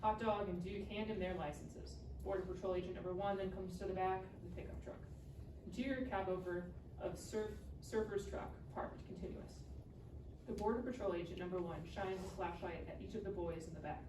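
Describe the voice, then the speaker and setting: A woman's voice: on the low side, brisk. A woman reading out scene headings and stage directions as narrator at a live comedy table read. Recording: echoey room, distant mic, clear noise.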